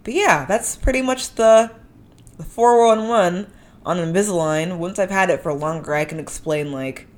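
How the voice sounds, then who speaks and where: A woman's voice: fairly low pitch, moderate pace, a little mellow. A woman hosting a podcast in a casual, slangy, conversational monologue, speaking with a slight lisp. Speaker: podcast host.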